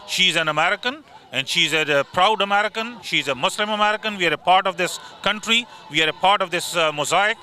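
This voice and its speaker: A man's voice: high voice, brisk, hardly any pauses, sharp tone. A man at an outdoor protest speaking firmly and earnestly about being an immigrant father. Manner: firm, proud, earnest.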